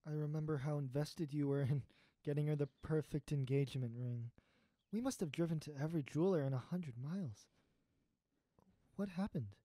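A performer playing a gentle, sentimental, pleading old friend in an audio drama, earnest and hesitant. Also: mid-range voice, normal speed, no echo, close to an everyday voice. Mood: concerned. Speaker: voice actor in student audio drama. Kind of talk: naturalistic audio drama dialogue.

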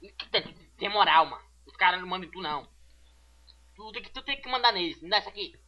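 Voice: medium pitch, expressive, fast, dull audio. An amateur dubber with a Brazilian accent doing a comic redub of a sitcom in brash, slangy, mocking street talk.

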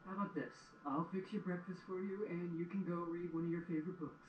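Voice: medium pitch, fairly even intonation, quick pace, lightly acted. An actor playing a caring, easygoing male pony, an older brother in a fan cartoon audio drama. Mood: concerned. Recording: dull, roomy playback with noise.

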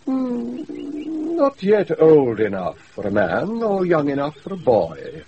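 Pompous British steward, wildly swooping delivery. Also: male voice, fairly high pitch, wildly swooping pitch, breathy edge, muffled recording, full character voice. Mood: disdainful. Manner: mannered, drawn-out, theatrical. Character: pompous, fussy household steward. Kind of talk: British radio Shakespeare acting. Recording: noisy recording off a wireless radio.

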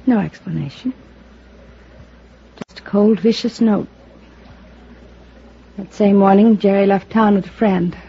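A woman's voice: mid-range voice, a little slow, gravelly, long pauses, husky. An actress playing a bitter, heartbroken, hard-drinking woman in old-time American radio drama. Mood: bitter, hurt. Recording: dull, noisy old radio transcription.

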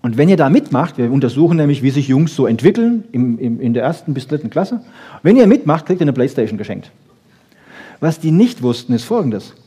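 A man's voice: medium pitch, big pitch swings, fairly quick, mellow, slightly rough. A man giving an informal lecture, explaining in an emphatic, didactic way and often checking in with short questions. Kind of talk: informal public lecture on research.

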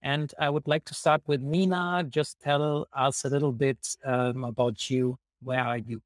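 A young man hosting an online panel interview over a video call, speaking in hesitant, halting phrases. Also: mid-range voice, fairly even intonation, no room sound, clean studio sound. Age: young adult. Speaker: host, volunteer coordinator. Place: indoor room, video call. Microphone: wired earphones on video call.

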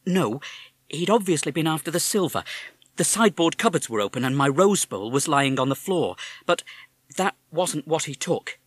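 Brief: man playing a soothing, level-headed mum, lifted pitch. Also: animated, moderate pace, breathy edge, dry recording. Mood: calm. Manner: soothing, reassuring. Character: calm, steady British mother.

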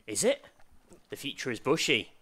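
A man co-hosting a let's play, his voice a bit hoarse from a cold. The tone is bright and forward, his delivery jokey and teasing as he banters over the game. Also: mid-range voice, huge pitch swings, normal speed. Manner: joking, playful teasing. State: slightly hoarse. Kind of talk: casual banter while playing.

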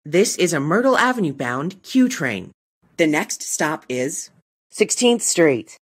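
A woman announces trains and stops in a formal, scripted style. Her voice is on the low side and slightly husky, with lively intonation and unhurried, segmented phrasing. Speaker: subway station announcer.

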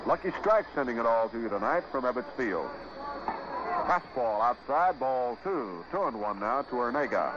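An American man doing 1950s radio baseball play-by-play in short, measured, clipped phrases. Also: mid-range voice, big pitch swings, lo-fi sound. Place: ballpark radio broadcast. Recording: noisy, band-limited 1950s radio broadcast.

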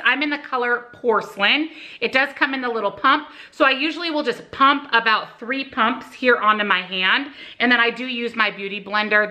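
A woman's voice: on the high side, pushed and bright. A woman in her forties chatting casually at home while doing her makeup and answering viewer questions. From United States. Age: 40s. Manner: friendly and talkative while applying makeup. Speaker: YouTuber and real estate agent. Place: home kitchen indoors. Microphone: camera on a tripod close in front.

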